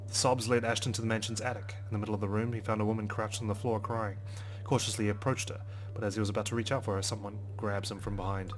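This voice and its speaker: Low-voiced man reading a game dramatically. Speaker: let's player. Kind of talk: dramatic read-aloud of a visual novel with asides.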